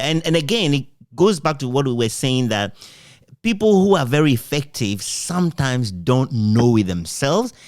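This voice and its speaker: A man's voice: medium pitch, no echo, clean studio sound. A man interviewing conversationally, hesitantly repeating words as he builds up each point.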